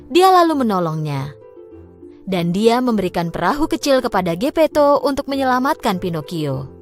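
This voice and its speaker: Lively, animated woman storyteller. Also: a little high. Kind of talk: scripted children's fairy tale narration.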